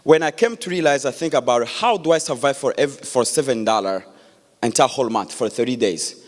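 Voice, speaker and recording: A man, giving an earnest public speech with many pauses and restarts. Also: medium pitch. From Democratic Republic of Congo. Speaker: guest speaker. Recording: echoey hall.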